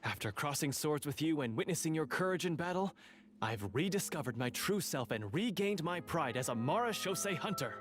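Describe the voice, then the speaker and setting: A flustered young scriptwriter. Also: male voice, fairly high pitch, lively intonation, a bit bright. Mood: grandiose. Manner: halting, stammers, lapses into pompous speechifying. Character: nervous young man, sheepish schemer turned theatrical. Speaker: scriptwriter character in a game. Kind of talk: video game voice acting.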